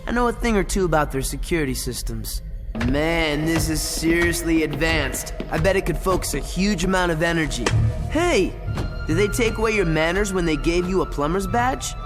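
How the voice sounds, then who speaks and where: A man playing a prickly, streetwise teenage boy in an animated game cutscene, blunt and sarcastic in manner. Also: a little high, normal speed, natural voice. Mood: indignant. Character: cocky, prickly teenage boy, streetwise former bad guy. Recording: music behind the voice.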